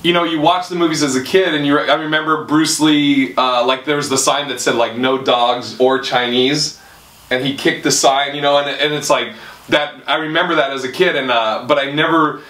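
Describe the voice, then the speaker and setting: A man's voice: medium pitch, fairly even intonation, moderate pace, fairly bright tone. A man hosting a casual panel chat, rambling and restarting his sentences as he wraps up the show. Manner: rambling, self-interrupting. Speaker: show host. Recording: roomy, distant mic.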